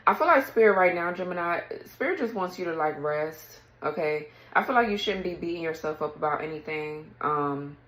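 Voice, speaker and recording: Woman tarot reader, raspy morning voice, low register, roomy sound, casual chatter with frequent throat-clearing. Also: lively intonation, normal speed, hardly any pauses, a bit bright. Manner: chatty, clears throat often, reads card texts aloud. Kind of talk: casual tarot reading to camera.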